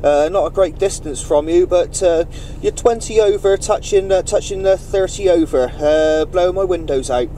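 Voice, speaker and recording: A man running a CB radio net, chatting informally in radio jargon with halting phrasing. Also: high voice, mellow, slight background noise.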